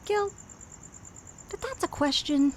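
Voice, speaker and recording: A woman's voice: high voice, expressive, slow pace. A woman acting a blunt, sardonic, exasperated policewoman in a naturalistic audio drama. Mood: wry, weary. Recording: faint outdoor noise with insects.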